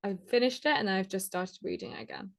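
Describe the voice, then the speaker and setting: A woman's voice: mid-range voice, creaky, a bit bright. Woman, podcast guest, chatty and casual, mid-range voice. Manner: chatty, lots of "like", quick laughs. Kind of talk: casual conversational interview.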